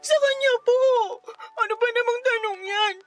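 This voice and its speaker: A woman's voice: very high voice, moderate pace, fairly bright tone, faint music behind. A Filipino woman acting as a distressed, insecure twenty-year-old daughter, speaking plaintively in a melodramatic radio drama. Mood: defensive. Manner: plaintive, pleading.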